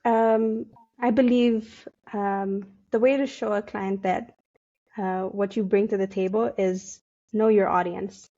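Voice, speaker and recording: A young woman from Ethiopia, a digital marketer, answering interview questions thoughtfully with many pauses and fillers. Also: medium pitch, steady tone, dark tone, lo-fi sound. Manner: thoughtful, hesitant with many fillers, laughs often. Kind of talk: casual interview.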